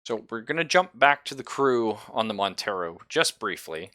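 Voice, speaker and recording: Guy running a tabletop rpg, low crisp forward voice, dry close mic, playful and chatty. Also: big pitch swings, normal speed, sharp tone, no echo. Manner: conversational, playful, swears casually. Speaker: game master. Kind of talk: improvised narration of a tabletop roleplaying game.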